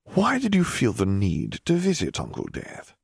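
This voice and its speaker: A man voicing a haughty, menacing male stranger with a formal, measured manner, in an audiobook. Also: mid-range voice, wildly swooping pitch, creaky, breathy edge, no echo, clean studio sound, pitched above the performer's own voice, full character voice. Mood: composed, probing. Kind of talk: audiobook character voice.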